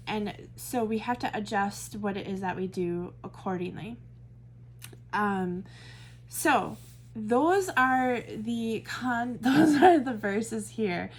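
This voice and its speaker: A Midwestern American woman leading a Bible study, talking casually and thinking aloud with fillers and self-corrections. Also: medium pitch. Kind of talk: casual teaching talk to camera.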